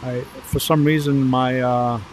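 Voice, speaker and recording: Man, mid-pitched, hesitant thinking aloud, simulator pilot radio calls, faint vehicle drone. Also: little pitch movement, measured pace, a little hiss or hum. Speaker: flight simulator streamer playing pilot. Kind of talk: live gameplay commentary and pilot radio calls.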